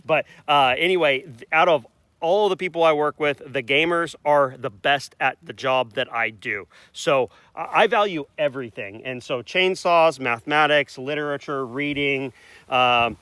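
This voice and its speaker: A man's voice: a little high, normal speed, sharp tone, clear, resonant tone, no room sound. A man, hosting a casual rural vlog and rambling in a folksy way with self-corrections. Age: 40s. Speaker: channel host. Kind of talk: casual outdoor vlog chat.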